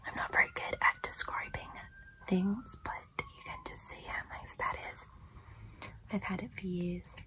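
Whispering young woman, soft ASMR voice. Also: a little high, wildly swooping pitch, rough recording. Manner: hushed, gentle, unhurried casual chat. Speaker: ASMR video host. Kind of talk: soft-spoken whispered ASMR product show-and-tell.

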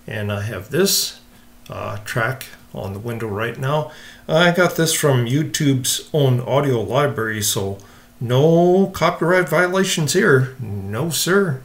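A man hosting a casual software walkthrough, explaining step by step in an informal, unhurried way. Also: mid-range voice, animated. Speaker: software tutorial host.

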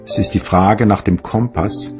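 An actor playing a reflective German physicist reading his memoirs in a husky, measured, philosophical way. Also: fairly low pitch, steady tone, over music, lightly acted. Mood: pondering.

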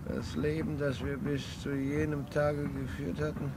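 A man's voice: on the high side, flat delivery, unhurried, natural voice. An actor playing a very old grandfather who keeps retelling his memories, in a wavering, musing voice, heard on a noisy old radio recording. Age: older. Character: very old grandfather, rambling, haunted by the past. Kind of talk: 1950s radio play acting.

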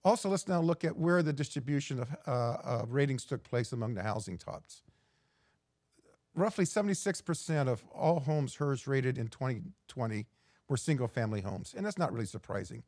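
A middle-aged man giving a prepared keynote as an executive director, measured and reading from slides with occasional restarts. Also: mid-range voice, animated, a little mellow, no room sound, studio quality. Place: indoor studio in front of a backdrop.

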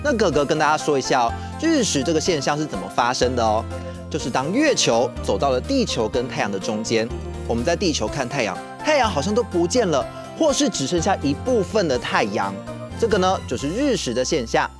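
Very animated young Taiwanese man, children's host. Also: on the high side, a little fast, music in the background. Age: young adult. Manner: expressive, questioning. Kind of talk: storytelling for children. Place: TV studio set.

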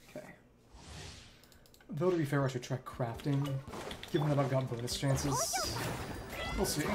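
A woman streamer giving casual live gameplay commentary, half muttering to herself with mild exclamations. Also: a bass voice. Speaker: game streamer. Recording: loud game music behind voice. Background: loud game music.

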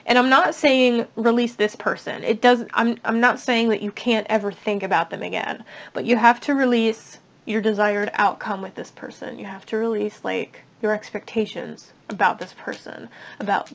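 A young woman giving a casual tarot reading at a table, chatty and reassuring, her voice slightly raspy. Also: a little high, steady tone, moderate pace. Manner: chatty, reassuring, many fillers. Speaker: tarot reader. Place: indoors at a table.

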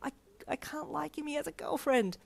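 A woman's voice: medium pitch, normal speed, fairly bright tone, lightly acted. A woman voicing a lovesick schoolgirl in denial in a story, flustered and defensive with a huffy, stammering manner. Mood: panicked, wailing.

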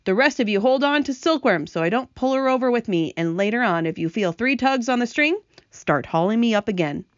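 Woman as a high-voiced, urgent young boy. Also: expressive, a little fast, no room sound, muffled recording, lightly acted.

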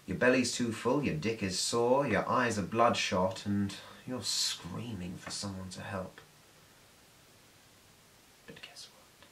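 A man performing a theatrical film monologue as a sardonic, menacing devil full of contempt, dramatic and shifting between hushed and forceful delivery. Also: fairly low pitch, voice pushed down, exaggerated voice, rough recording. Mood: taunting. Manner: dramatic, swinging from whispers to raging outbursts. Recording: noticeable background hiss.

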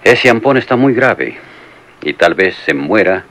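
An actor playing a calm, measured police lieutenant in a vintage radio drama. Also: male voice, low-pitched, heavily acted. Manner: calm, reasonable.

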